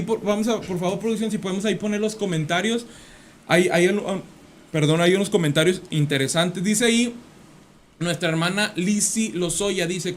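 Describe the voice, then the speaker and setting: A man with a Mexican accent hosting a religious talk podcast, repeating phrases for emphasis as he comments. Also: high voice. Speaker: podcast host.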